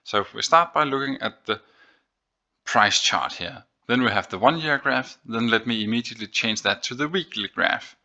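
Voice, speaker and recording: A man's voice: mid-range voice, lively intonation, moderate pace, bright, forceful tone, lo-fi sound. A man hosting a finance video, explaining figures on screen in a methodical, step-by-step way. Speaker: host of an investing video series.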